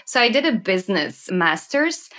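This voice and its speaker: Bright-voiced Belgian woman, enthusiastic guest. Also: medium pitch, big pitch swings, moderate pace. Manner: enthusiastic, storytelling. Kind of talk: conversational interview.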